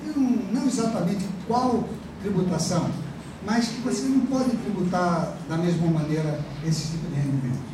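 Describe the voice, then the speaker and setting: Echoey lecturing Brazilian professor. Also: male voice, sits high, moderate pace. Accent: Brazilian Portuguese. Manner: chatty, rambling with many fillers and tag questions. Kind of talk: university lecture. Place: large classroom. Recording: echoey classroom from far off, noisy.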